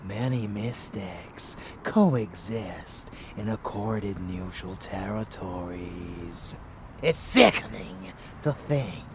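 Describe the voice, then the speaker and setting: A man playing a haughty, seductive and menacing vampire lover in an intimate ASMR roleplay, with breathy, teasing murmurs. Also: fairly low pitch, slight background noise. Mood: sinister, instructive, then contemptuous. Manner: breathy whispering murmurs, slow and deliberate, teasing.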